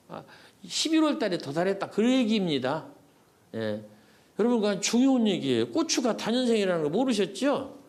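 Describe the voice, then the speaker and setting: A man's voice: high voice, darkish tone. An older Korean man, a poet, giving a folksy public lecture to older adults, asking the audience questions and answering them himself. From South Korea. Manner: folksy, repeats words for emphasis.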